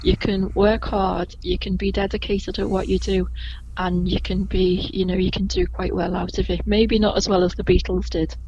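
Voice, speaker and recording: A woman from Liverpool with a gentle Scouse accent, a tour guide chatting as a podcast guest, rambling a little and laughing often. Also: medium pitch, level tone, normal speed, muffled recording, a little hiss or hum. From Liverpool, England. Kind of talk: casual podcast interview.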